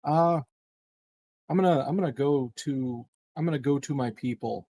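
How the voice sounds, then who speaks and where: Guy at an rpg table, mellow dark voice, mid pitch, kinda hesitant. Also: normal speed, no echo. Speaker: tabletop game player. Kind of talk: casual game talk.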